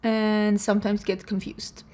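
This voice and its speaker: A woman's voice: medium pitch, level tone, unhurried, darkish tone. A woman from Sweden with a Swedish accent, chatting casually through a makeup tutorial in a self-deprecating, laughing way. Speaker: beauty vlogger.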